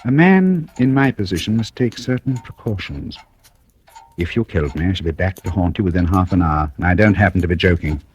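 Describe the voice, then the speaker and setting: An actor playing a suave, mocking, cold-blooded British blackmailer in an old-time radio thriller, speaking with urbane deliberation. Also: male voice, low-pitched, lively intonation, a little fast, a little mellow, lo-fi sound. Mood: amused, mocking. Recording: noisy vintage radio recording with clicks.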